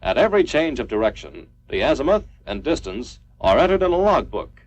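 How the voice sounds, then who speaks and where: A man's voice: mid-range voice, big pitch swings, fairly quick, lo-fi sound. An American man reading scripted military training film narration in a clipped, instructional manner. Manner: instructional, clipped declarative delivery.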